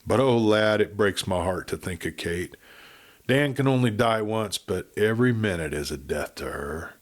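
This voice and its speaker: A man's voice: fairly low pitch, lively intonation, normal speed, close to an everyday voice. A man voicing a worried, tender old rancher father with folksy frontier speech in a western audiobook. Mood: sorrowful.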